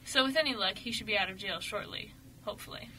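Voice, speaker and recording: A woman narrating a scripted radio story dramatically, with expressive, emphatic delivery. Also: medium pitch, fast talker, rough recording. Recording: faint noise, amateur radio show recording.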